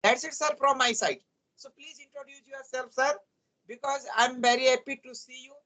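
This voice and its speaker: A man's voice: very high-pitched, steady tone, normal speed, bright, forceful tone, low-quality recording. A man from Uttarakhand with an Indian accent, a native Hindi speaker, talking politely and formally as the guest in an English practice chat. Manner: polite and formal, often says "sir".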